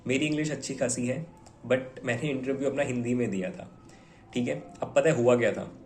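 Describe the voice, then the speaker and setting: Young man, mid-pitched, chatty advice to camera, recorded in a roomy space. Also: fairly quick, darkish tone. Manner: conversational, mixes Hindi with English words, fillers like "theek hai". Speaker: bank officer giving exam advice. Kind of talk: casual advice talk to viewers. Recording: echoey room.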